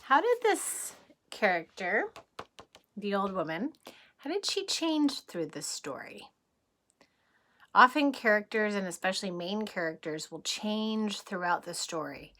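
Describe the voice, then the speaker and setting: A woman's voice: medium pitch, very animated, a little slow, sharp tone. A woman teacher reading a picture book aloud to young children in a playful way, with chatty asides and questions.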